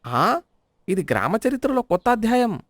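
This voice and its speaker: A man's voice: high-pitched, sing-song, moderate pace, a bit bright, dry recording, heavily acted. A man voicing a teasing village shopkeeper who mocks a customer. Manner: mocking, teasing.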